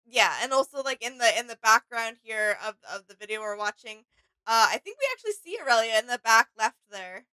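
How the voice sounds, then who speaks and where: Young woman, bright higher voice, chatting casually. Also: expressive, brisk, clean tone, poor audio. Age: 20s. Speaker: reaction channel co-host. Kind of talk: casual chat. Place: small indoor home studio room.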